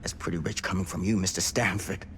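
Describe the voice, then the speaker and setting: An actor playing a blunt, urgent, haunted old former small-town sheriff in a cinematic horror game. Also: male voice, low-pitched, steady tone, quick pace, slight background noise, natural voice. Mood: accusing. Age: older.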